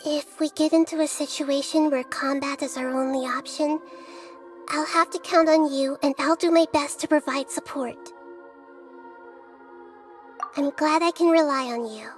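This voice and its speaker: A woman voicing a gentle, wise young girl deity in a video game, speaking in a calm, measured, earnest and articulate way. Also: sits high, soft background music, lightly acted. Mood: confessing, earnest.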